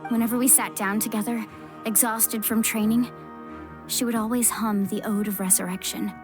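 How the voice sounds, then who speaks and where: A woman's voice: fairly high pitch, fairly even intonation, moderate pace, over music, natural voice. A woman voicing an earnest, emotional young female warrior in a game. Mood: grieving. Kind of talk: game voice acting.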